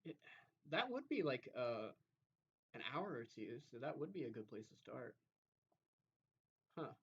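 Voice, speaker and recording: A man's voice: mid-range voice, sing-song, measured pace, muffled recording, a little hiss or hum. A man streaming, talking casually as if to a chat audience.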